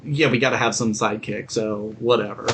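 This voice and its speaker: A man's voice: fairly low pitch, fairly even intonation, fairly quick, a bit bright, husky. A man co-hosting a podcast, chatting casually about movies and joking, riffing and acting out quotes. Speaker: podcast co-host. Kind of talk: casual bantering movie chat.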